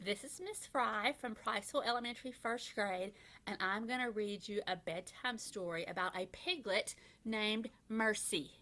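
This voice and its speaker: A woman reading a children's bedtime story aloud like a first grade teacher, with expressive, deliberate storytelling. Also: medium pitch.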